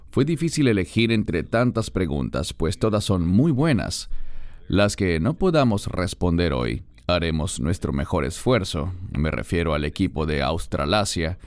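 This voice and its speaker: A man hosting a faith interview program, welcoming viewers and asking his guest measured, courteous questions. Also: deep voice, darkish tone, no echo. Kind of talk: scripted host introduction and interview questions.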